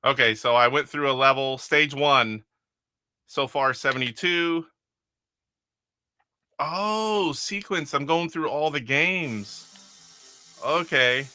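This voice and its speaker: Chatty, bright-voiced man streaming games. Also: on the high side, slow, pauses often, smooth, no echo. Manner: chatty, rambling, chuckles, reads viewer comments aloud. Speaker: retro game streamer host. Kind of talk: casual live-stream chat with gameplay. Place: attic converted to a game room.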